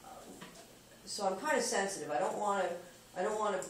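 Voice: medium pitch, brisk. A water department official giving a casual, plain-spoken report at a board meeting, thinking aloud with frequent restarts. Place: meeting room around a conference table. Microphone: far camera. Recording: echoey room, distant camera, background noise.

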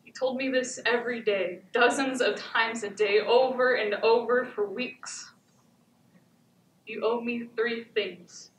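A haunted, stoic abused wife, acted by a teenage girl delivers a dramatic stage monologue in short, stark phrases. Her voice is fairly high, heard from a distance in an echoey theatre. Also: fairly even intonation. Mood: haunted, restrained. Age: teens. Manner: deliberate, incantatory repetition with short stark phrases. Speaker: student stage actor. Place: theatre stage before an audience. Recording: echoey theatre, distant camera, background noise.

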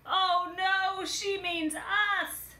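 Storybook cookie, female, clever and alarmist, played by a woman reader: high, bright, emphatic warnings, roomy home recording. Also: steady tone, extremely slow, heavily acted. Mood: alarmed warning.

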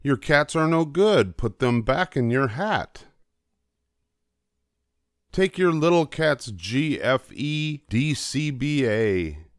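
A man's voice: medium pitch, expressive, slow pace, no echo, clean studio sound, voice pushed up. An older man voicing a worried, scolding child in a children's storybook, protesting emphatically. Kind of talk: children's storybook character reading.

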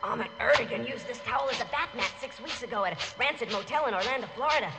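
A woman gushes like a rapturous pitchwoman, her voice high and bouncing. A long hall reverb sits on her voice, with music behind. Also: expressive, fast talker, gravelly. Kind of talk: comedy sketch.

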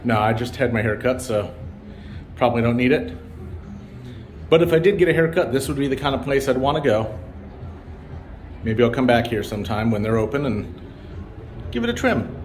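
A man narrating a walking tour of a town's streets in a relaxed, musing, meandering way, recorded on a camera mic as he walks. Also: mid-range voice, normal speed, pauses often. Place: outdoors on city streets. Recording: outdoor street noise behind. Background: passing cars and street ambience.

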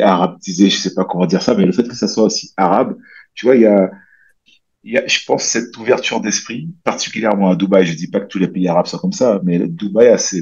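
A man in his thirties from French Guiana talks through a headset on a video call, his voice low and slightly husky. He rambles in a relaxed way, with many fillers and restarts. The call audio sounds a little dull. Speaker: guest, bodybuilder and coach. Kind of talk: casual interview chat. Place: small room at home, indoors.

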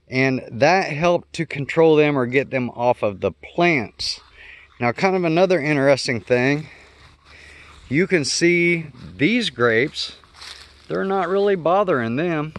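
A man's voice: medium pitch, fairly bright tone, dry recording. A man giving a casual, unscripted explanation outdoors, thinking aloud and correcting himself as he goes. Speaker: homestead vlogger. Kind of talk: casual unscripted explainer while walking around the yard. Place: outdoors in a garden yard.

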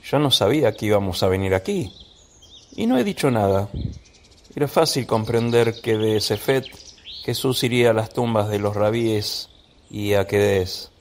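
An actor playing a humble disciple defending himself in a measured way in a radio drama. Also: male voice, on the low side, lightly acted. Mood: humble, defensive. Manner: measured, self-justifying.

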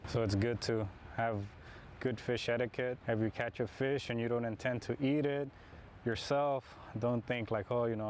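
A man's voice: medium pitch, darkish tone, a little hiss or hum. Laid-back man explaining while fishing. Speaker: fishing vlogger. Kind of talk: casual chat while fishing. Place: outdoor park pond.

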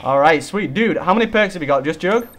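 A young man hosting a casual co-op zombie game session, excitable and chatty, bursting into loud hype over his weapon. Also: on the high side, quick pace, noisy background. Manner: excitable, hypes gun, swears mildly. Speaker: gaming channel host. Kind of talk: casual co-op gameplay chat.

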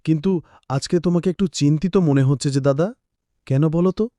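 Man acting a bright-voiced, earnest but not shrill poor fisherman. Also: normal speed, no room sound, studio quality, lightly acted. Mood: worried. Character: poor, anxious village fishermen and their boss. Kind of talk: cartoon voice acting.

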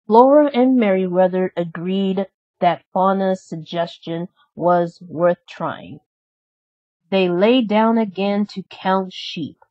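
Slow-paced woman reading a children's story. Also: mid-range voice. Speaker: storybook reader. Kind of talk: children's story read aloud, scripted narration. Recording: slightly dull, lo-fi sound.

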